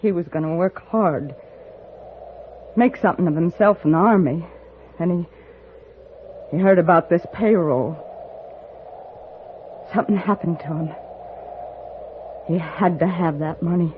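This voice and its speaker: A bereaved frontier woman voiced by a radio actress speaks slowly and haltingly, full of sorrow. The style is 1950s radio drama, on a thin recording with steady noise. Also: on the low side, phone-quality sound, close to an everyday voice. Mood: grieving. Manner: halting, mournful. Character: Anna, sorrowful woman who lost her fiancé. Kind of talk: 1950s radio western acting.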